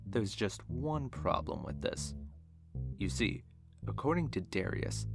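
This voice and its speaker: A man's voice: deep voice, vocal fry. A man hosting a podcast, narrating history from a script with wry, jokey asides. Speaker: podcast host. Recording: music bed behind the voice. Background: guitar music.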